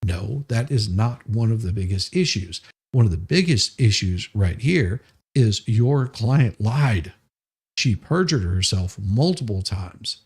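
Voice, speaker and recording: A man's voice: fairly low pitch, animated, takes it slowly, darkish tone, husky. A man reading documents aloud and adding blunt, sarcastic, exasperated commentary, often profane. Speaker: livestream host doing dramatic readings of legal filings.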